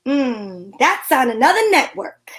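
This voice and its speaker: A woman's voice: high voice, very animated, a little slow, fairly bright tone, full character voice, poor audio. A woman playing a breezy, wisecracking private detective in an amateur old-time radio drama, wry and playful.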